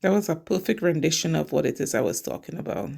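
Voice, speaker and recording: A woman's voice: deep voice, expressive, fast, creaky, a little mellow. A middle-aged woman from Trinidad and Tobago, a nail technician chatting casually as she explains each step of her work. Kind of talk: casual nail tutorial narration.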